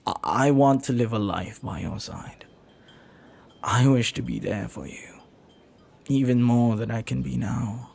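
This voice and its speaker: A man playing a flirty, lovelorn pirate captain in a romantic audio roleplay, speaking softly and earnestly with hesitant, lingering pauses. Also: mid-range voice, creaky, breathy edge, heavily acted. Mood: earnest, longing.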